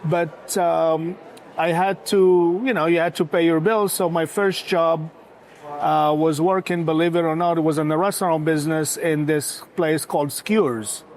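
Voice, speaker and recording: A man from Morocco, a restaurant founder talking as a guest in a casual interview, pausing often with filler sounds. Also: fairly high pitch, steady tone. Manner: hesitant, many filler sounds.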